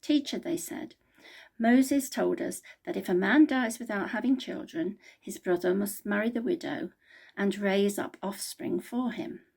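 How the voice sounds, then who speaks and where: A woman's voice: mid-range voice, a little slow, creaky, a little mellow, husky. A woman reading the Bible aloud as a member of a church.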